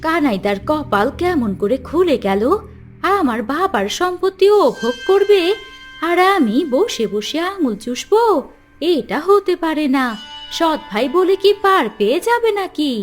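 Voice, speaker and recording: A grasping, envious village woman from a cartoon folk tale, acted by a woman. Her high voice is indignant and cunning, with faint music behind it. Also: big pitch swings, measured pace. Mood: resentful. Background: background music.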